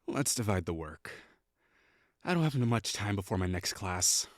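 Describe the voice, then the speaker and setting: A man's voice: on the low side, big pitch swings, moderate pace, no echo, studio quality. A young man voicing a prickly, condescending perfectionist classmate in an audio roleplay, talking in a bossy, sarcastic way. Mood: cold, condescending. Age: young adult. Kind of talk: scripted roleplay acting.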